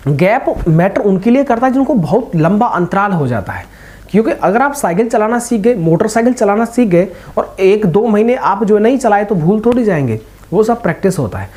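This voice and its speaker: A young man with a very mellow, soft-edged voice talks to viewers like an older study partner. He is informal and reassuring, offering motivational advice in a casual way. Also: high voice, sing-song, brisk. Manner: informal, reassuring, addressing listeners as brothers. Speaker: exam-preparation video host.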